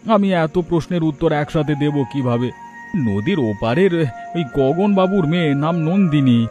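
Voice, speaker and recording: A man's voice: a little high, animated, normal speed, mellow, highs cut off, soft background music, close to an everyday voice. A man voicing a lovestruck young village boatman in a cartoon, insistent and cocky. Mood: pleading, insistent.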